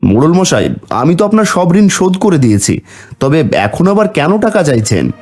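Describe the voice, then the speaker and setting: A man's voice: on the high side, sing-song, normal speed, a little mellow, voice pushed up. A man voicing an earnest, peace-loving young farmer in a cartoon story. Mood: questioning.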